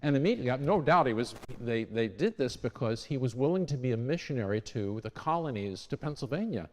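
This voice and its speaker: A man at an everyday pitch gives an unscripted history talk to a church congregation. He talks conversationally, with restarts and small self-corrections along the way. His voice rings with the long reverb of the church. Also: animated, brisk.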